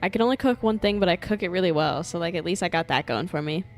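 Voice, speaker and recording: A woman's voice: lowish voice, fast talker. A woman streaming a game with casual live commentary, playful, slangy and sweary. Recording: game music behind. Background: game music.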